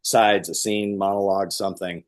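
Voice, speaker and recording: A man who teaches theater acting, explaining in a conversational, animated and emphatic way during an interview. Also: low-pitched, moderate pace.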